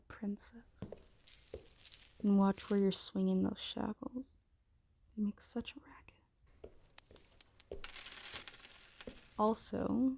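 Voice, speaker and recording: A woman playing a menacing, possessive dragon shifter queen in an ASMR roleplay, commanding and often slipping into breathy whispers. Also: medium pitch, dark tone, no echo, heavily acted. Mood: impatient, commanding.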